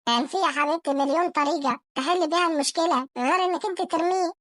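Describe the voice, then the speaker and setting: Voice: very high voice, rapid-fire, fairly bright tone, dry recording, clean studio sound. A performer voicing an angry, whiny, penny-pinching cartoon husband with an Egyptian accent, scolding and wailing in a comedy sketch. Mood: exasperated.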